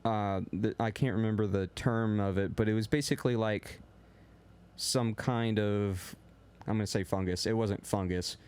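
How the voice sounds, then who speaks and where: Young male co-host, lower voice, loud and rambling, casual podcast chat. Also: fairly even intonation, moderate pace, smooth. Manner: loose and rambling, heavy on filler words; talks a little loud.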